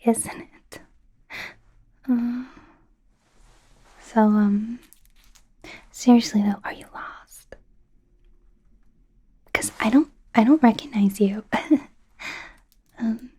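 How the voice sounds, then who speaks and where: A young woman voicing an awkward, flustered female werewolf student in a soft, whispery ASMR roleplay, stammering and rambling nervously. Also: a little high, heavily acted. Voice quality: soft, breathy, often whispery. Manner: nervous, stammering, rambling, indignant when embarrassed.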